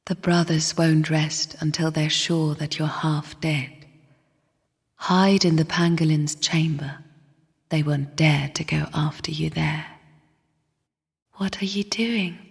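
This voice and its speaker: A woman voicing a somber, bitter sister spirit in a video game, speaking in a slow, solemn, cryptic half-whisper with a breathy tone. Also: low-pitched, exaggerated voice. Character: somber, bitter sister spirit of the void, guarding her chambers. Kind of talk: video game character voice lines. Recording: long cavernous reverb.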